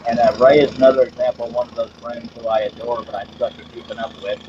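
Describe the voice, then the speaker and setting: A man's voice: medium pitch, flat delivery, quick pace, highs cut off, background noise. A man hosting a woodworking live stream, chatting casually as he works, rambling and self-deprecating, often repeating his words. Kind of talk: casual live-stream chat while working.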